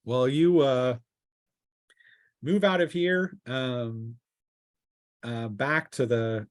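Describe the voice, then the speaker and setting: Man, mid-pitched voice, game master, improvised fantasy narration, halting delivery, dry sound. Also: no room sound. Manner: halting, pausing mid-sentence.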